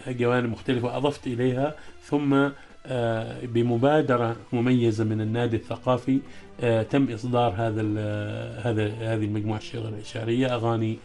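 A male poet interviewed as a guest, speaking hesitantly and then reciting his poems in a slow, chanting cadence. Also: medium pitch, level tone, vocal fry, a little mellow, soft background music.

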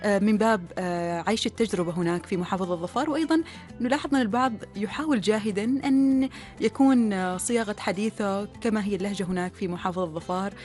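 Fast-talking Omani woman radio co-host. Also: medium pitch, darkish tone, faint music behind. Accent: Omani Arabic. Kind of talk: casual radio chat.

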